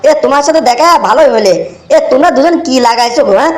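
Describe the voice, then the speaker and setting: Man playing cartoon village sidekick, high quick voice, conniving and cajoling, rural Bangladeshi Bengali accent. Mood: feigned concern. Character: scheming, fawning young village henchman stirring up quarrels. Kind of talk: comic cartoon dialogue.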